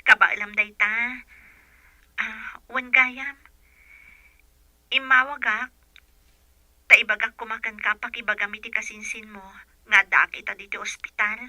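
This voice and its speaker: Very bright-voiced young Ilocano woman. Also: fairly high pitch, flat delivery, unhurried, pauses often, dry recording, low-quality recording. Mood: pleading, grateful. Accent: Ilocano Filipino. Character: Sunshine, young woman phoning a friend for help. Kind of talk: Ilocano radio drama acting.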